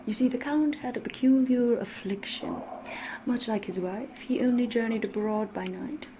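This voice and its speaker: Woman playing a soft-spoken, mysterious vampire storyteller, ambient noise. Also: on the high side, natural voice. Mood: sly, knowing. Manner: measured, theatrical, knowing, old-fashioned formal diction. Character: mysterious flirtatious female vampire, worldly storyteller with veiled menace. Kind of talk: ASMR roleplay storytelling. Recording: steady ambient noise behind the voice.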